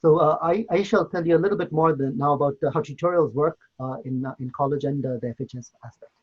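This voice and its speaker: A man's voice: a little high, fast, breathy edge, muffled recording. A middle-aged man, a medical tutor, giving a hesitant open day talk full of fillers. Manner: hesitant, many fillers and restarts. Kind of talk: virtual open day presentation.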